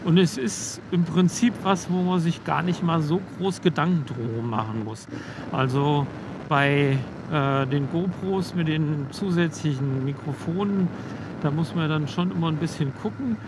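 A young man riding a motorcycle on country roads, casually explaining gear through his helmet intercom mic, relaxed, with frequent filler words. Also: fairly high pitch. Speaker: motovlogger. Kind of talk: casual motovlog talk while riding. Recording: helmet mic with road noise. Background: road and vehicle noise.

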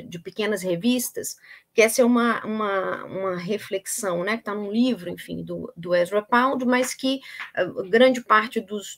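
Woman, middle-aged, academic lecture, hesitant with many fillers and restarts, video call audio. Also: mid-range voice.

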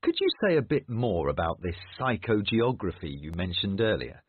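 Man, academic lecture delivery, low husky voice, dry phone-like recording. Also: very animated, moderate pace, no room sound. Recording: thin phone-like audio, dry.

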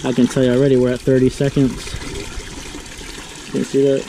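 A man's voice: medium pitch, monotone, brisk, mellow, a little hiss or hum, rough recording. A man casually walking viewers through a hands-on demonstration outdoors, talking while he works with the camera in his hand. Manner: chatty, talks while working, addresses viewers. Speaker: pressure washing business owner. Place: outdoors under a metal carport shelter. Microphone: handheld action camera, point of view. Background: rain and running water.

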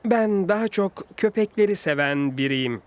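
A man voicing a confident young rescue vehicle hero in a children's cartoon, brisk and matter-of-fact. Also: high voice, lively intonation, a little hiss or hum.

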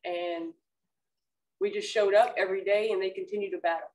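A woman softball coach answering press questions at a table, speaking plainly and repeating words as she thinks. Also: mid-range voice, fairly even intonation, fairly quick, recorded from a distance, poor audio. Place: press room, seated at a table.

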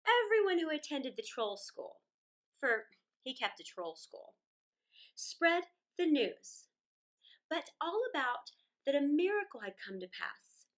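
A middle-aged woman reading a children's story aloud in a theatrical, deliberate way, drawing out words with dramatic pauses. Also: sits high, very animated, a bit bright. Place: small indoor room against a plain wall.

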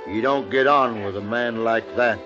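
A man's voice: mid-range voice, normal speed, natural voice. An American man playing a blunt, wary frontier hide skinner with a plain rural Western drawl in an old-time radio drama. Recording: muffled vintage radio recording with music.

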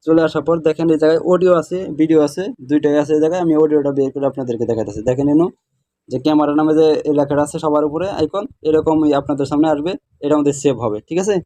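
A man's voice: a little high, fairly even intonation, very fast, mellow. A man narrating a step-by-step app tutorial, repeating himself and often checking that the viewer follows. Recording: voice over plucked guitar music. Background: plucked guitar music.

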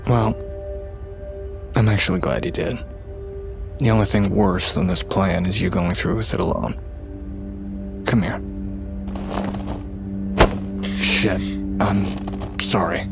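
An actor playing a wary, sarcastic young ex-convict in a naturalistic audio drama, blunt and exasperated. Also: male voice, low voice, fairly even intonation, unhurried, creaky, pauses often, music in the background. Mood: apologetic, soft.

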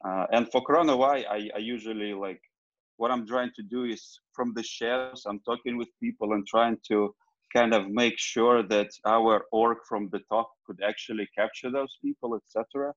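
A man's voice: on the low side, fairly even intonation, moderate pace, no room sound, muffled recording. A man from Ukraine giving a rambling, casual self-introduction on a video call. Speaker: meeting participant.

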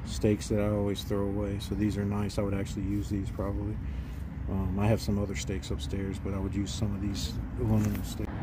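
Man, 40s, low flat delivery, casual gear review, phone mic outdoors with street noise. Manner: relaxed, plain-spoken, frequent "um"s. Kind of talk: casual product walkthrough. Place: outdoors in a backyard, inside and beside a tent. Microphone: phone camera, handheld and at arm's length.

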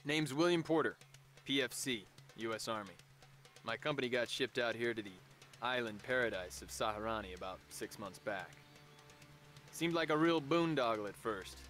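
An actor voicing a weary, cynical army private, narrating a game intro in a dry, sardonic tone. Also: male voice, medium pitch, bright, forceful tone, natural voice.

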